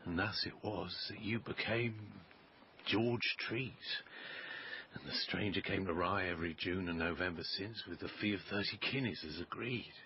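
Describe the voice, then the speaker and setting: A man's voice: sits low, normal speed, lo-fi sound, a little hiss or hum, natural voice. An actor playing an emotional middle-aged adoptive father in a period radio drama, with a grandiloquent, declamatory manner. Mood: confessing, earnest.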